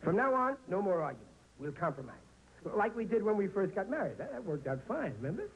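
Casting: blustering American working-class dad, animated high voice, stammering, old radio sitcom. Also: male voice, fast talker. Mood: contrite. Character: blustering, scheming, hapless working-class husband and father. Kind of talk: 1940s radio comedy acting. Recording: noisy old radio broadcast recording.

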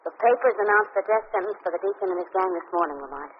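A woman's voice: medium pitch, quick pace, slight background noise, lightly acted. Old muffled radio play: female actor as inquisitive society lady, a little squeamish. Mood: curious. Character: curious, squeamish society lady, sleuth's companion. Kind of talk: 1940s radio drama acting.

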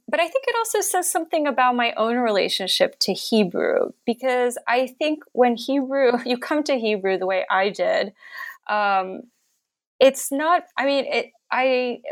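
A woman speaks in a thoughtful, searching way, often pausing on fillers and restarting her sentences. Her voice has a gravelly, creaky edge. She sounds like an author explaining her own reading of a text. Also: medium pitch. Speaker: author and scholar. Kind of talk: interview conversation, reading a passage aloud.

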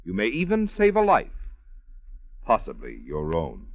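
A man's voice: fairly low pitch, huge pitch swings, unhurried, gravelly, a little hiss or hum. An American man reading scripted announcements in a polished, emphatic 1940s radio style. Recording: muffled vintage radio broadcast recording.

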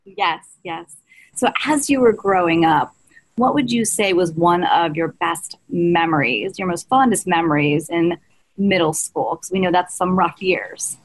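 A woman interviewing a guest, asking quick questions between short affirmations. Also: on the low side, low-quality recording.

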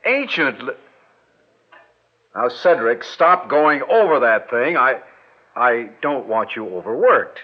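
Pushy small-town mayor; impatient, commanding, lively pitch, muffled vintage broadcast. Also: male voice, takes it slowly, pauses often. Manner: bossy, impatient. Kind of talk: 1940s radio acting. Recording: muffled old radio broadcast.

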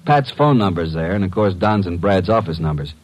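An actor playing a breezy, wisecracking tough detective's assistant in an old-time radio drama, brisk and slangy in his delivery. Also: sits low, animated, low-quality recording. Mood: reporting.

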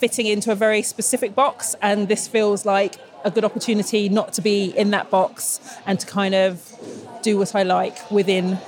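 A woman's voice: mid-range voice, little pitch movement, normal speed, a little mellow. Woman, roller derby player, thoughtful, hesitant. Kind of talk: casual interview answers.

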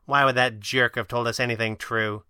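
A man's voice: mid-range voice, fairly even intonation, moderate pace, bright, forceful tone, clean tone, dry recording, studio quality. A man voicing a brash, teasing young woman crimefighter in an audio drama, with a sardonic, slangy manner. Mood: sardonic, blunt. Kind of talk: audio drama character voice in narrated reading.

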